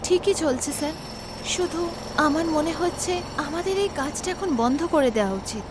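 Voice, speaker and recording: A woman's voice: high-pitched, normal speed, background noise, close to an everyday voice. A woman voicing a worried, tender working mother in a dramatised horror story. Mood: earnest, firm.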